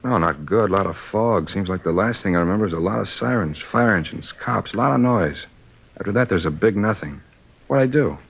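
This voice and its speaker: A man's voice: on the low side, animated, fairly quick, vocal fry, breathy edge, heavily acted. An actor playing a sheepish hungover salesman being questioned by police, groggy and slangy, in a 1950s radio crime drama. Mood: groggy, puzzled. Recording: dull lo-fi old radio recording.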